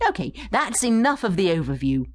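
Lively, expressive woman narrator. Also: lowish voice, fairly quick. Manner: instructive, expressive storytelling. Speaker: study guide narrator and tour guide. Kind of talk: scripted educational narration, acted as a guided tour.

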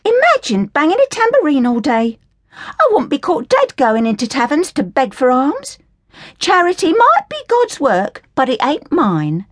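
Woman putting on a loud high girl, young orphan, scornful and mouthy, voice leaps around. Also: huge pitch swings, unhurried, no echo, studio quality, heavily acted. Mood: indignant. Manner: scornful and outspoken. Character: brash indignant young East End orphan girl.

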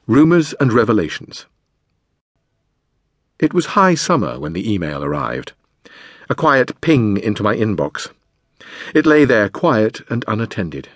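Guy reading an audiobook, lowish slightly husky voice, measured pacing, really dry close sound. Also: no room sound. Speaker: audiobook narrator. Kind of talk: scripted memoir narration.

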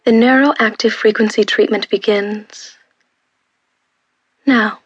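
A woman's voice: mid-range voice, fairly even intonation, slow, husky, dry recording, dull audio. A woman playing an impersonal, authoritative announcer who gives formal, matter-of-fact instructions on a treatment tape. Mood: impersonal, procedural. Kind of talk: scripted instructional announcement in an audio drama. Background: faint music.

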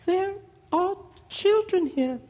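A man's voice: very high-pitched, expressive, slow, a little mellow, in a large hall, exaggerated voice. A man playing a muddled, prudish emperor who is hesitant and apologetic, in a comic radio play. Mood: indignant, flustered. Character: flustered, dim-witted, prudish emperor out of his depth. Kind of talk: full-cast comic radio theatre.

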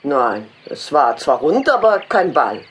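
A woman's voice: low voice, big pitch swings, a little slow. A woman playing an indignant, stubborn eyewitness in a German audio drama, speaking emphatically and easily offended. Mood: earnest. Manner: emphatic, huffy.

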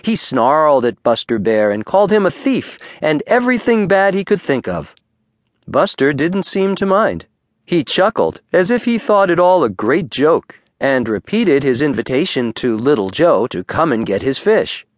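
Animated man reading a children's story. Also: fairly high pitch, no echo, clean studio sound. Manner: expressive, deliberate storytelling. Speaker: audiobook narrator. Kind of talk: children's bedtime story narration.

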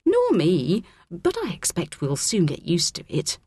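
A woman's voice: lowish voice, wildly swooping pitch, a little slow, mellow, breathy edge, no room sound, full character voice. A woman voicing a friendly, earnest ten-year-old schoolgirl in a children's audiobook. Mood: reassuring.

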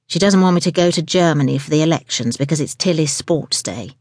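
A woman's voice: low-pitched, fairly quick, darkish tone, dry recording, clean studio sound. A woman voicing a weary, charming man in an audiobook, with a confiding manner. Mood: exasperated. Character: gloomy, put-upon, charming man, a television personality.

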